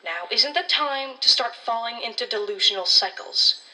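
A woman's voice: on the high side, animated, moderate pace, bright, forceful tone, far from the mic, rough recording. A woman playing a blunt, condescending ranger colleague in an audio drama, talking in a world-weary, slangy way. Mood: concerned, lecturing.

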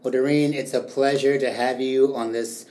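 A young man hosting an educational interview podcast, reading prepared definitions and asking measured questions. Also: medium pitch, fairly even intonation, a little mellow, slight background noise. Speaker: podcast host. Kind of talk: semi-scripted educational interview.

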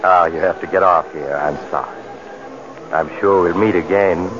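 Old-time radio mystery narrator: mysterious male storyteller played by an actor, wry, macabre, low voice, faint recording noise. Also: muffled recording, lightly acted. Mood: sly, confiding. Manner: wry, insinuating, unhurried confiding tone. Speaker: host narrator.

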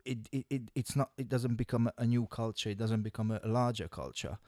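Man stammering into rushed points. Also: mid-range voice, steady tone, dark tone, no room sound, studio quality. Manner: stammers on starts, then rushes through long points. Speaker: podcast co-host. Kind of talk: casual chat.

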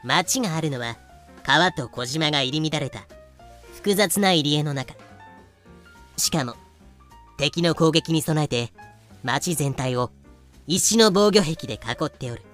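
A woman's voice: low-pitched, animated, measured pace, pauses often, fairly bright tone, faint music behind. A woman playing a proud female merchant in an audio drama, lecturing in haughty, old-fashioned speech. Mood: boastful. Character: proud, archaic-talking female merchant from colonial Carolina. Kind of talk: in-character explanation in a voice drama.

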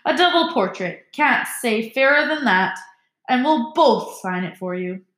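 A woman's voice: a little high, lively intonation, measured pace, fairly bright tone, echoey room. A woman voicing a vain, patronising male celebrity teacher in a storybook, jovial and pompous. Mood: jovial, booming.

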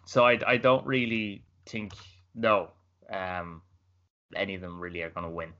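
A man's voice: low voice, level tone, normal speed, pushed and bright, lo-fi sound. A man co-hosting a casual gaming podcast, giving firm opinions and stumbling over repeated words. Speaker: podcast co-host. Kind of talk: casual chat.

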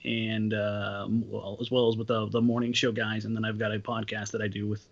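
A man's voice: lowish voice, little pitch movement, a little fast, vocal fry, dull audio. A man who writes about basketball, chatting as a guest on a podcast with dry humor and careful analysis. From Portland area. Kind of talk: casual podcast chat.

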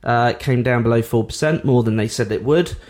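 A man's voice: mid-range voice, brisk, a little mellow. A man in his forties from England, co-hosting a casual podcast as an estate agent, chatty and blunt, swearing now and then.

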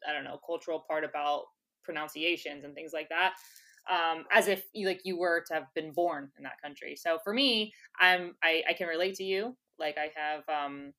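Chatty woman with a low voice. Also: normal speed, pushed and bright. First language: English. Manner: chatty, laughs often, many "like" fillers. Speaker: interview guest, former soccer player. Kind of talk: casual conversational interview.

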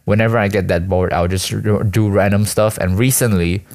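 Young man, student from Malaysia, low-pitched. Casual solo vlog ramble, halting and self-mocking, full of slang. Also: fairly even intonation, a little mellow. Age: teens to young adult, school student. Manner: hesitant, self-deprecating, slangy. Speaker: student vlogger and aspiring actor.

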